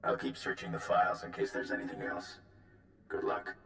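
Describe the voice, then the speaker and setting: An actor playing a gruff, battle-weary military planner in a video game, gravelly and clipped as he briefs. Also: moderate pace, fairly bright tone, close to an everyday voice. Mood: grim, blunt. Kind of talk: video game cutscene acting. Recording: echoing sound, music underneath.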